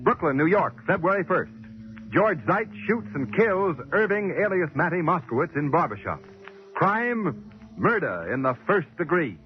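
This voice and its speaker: Old radio announcer guy, formal, big pitch swings, muffled recording. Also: sing-song, moderate pace. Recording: muffled 1940s radio, loud organ music.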